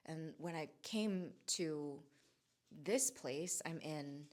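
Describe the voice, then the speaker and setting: A woman from Hawaii, in her forties, talks casually to her camera at home. Her voice sits very low for a woman. She muses and wanders, stopping and restarting her thoughts with plenty of fillers. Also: darkish tone. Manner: musing, halting, with many restarts and fillers. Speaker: vlogger. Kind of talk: casual reflective monologue. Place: home in Southern California.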